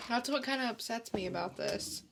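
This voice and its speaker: A woman's voice: a little high, brisk, creaky, a little hiss or hum. A woman co-hosting a podcast, chatting casually with brief, informal reactions. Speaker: podcast co-host. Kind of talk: casual chat.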